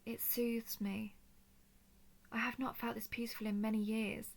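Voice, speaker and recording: A woman playing a noble female dragon of a ruling house in an intimate audio roleplay, speaking formally and earnestly in a soft, measured way. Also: a little high, level tone, vocal fry. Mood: tender, soothed.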